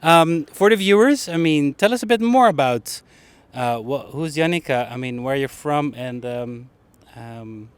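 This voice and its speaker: A man's voice: fairly high pitch, animated, measured pace, few pauses, smooth, no room sound. A man hosting a casual interview podcast on an outdoor bench, hesitant and jokey, restarting sentences often. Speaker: podcast host. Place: public bench by a harbour outdoors.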